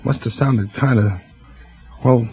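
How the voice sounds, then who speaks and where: An actor playing a haunted man confessing his obsession with fires, in soft, weary 1940s radio acting. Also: mid-range voice, slow, slightly rough, full character voice. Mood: weary, remorseful. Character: haunted male firebug, quietly confessing, fire-obsessed. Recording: muffled old radio broadcast with music.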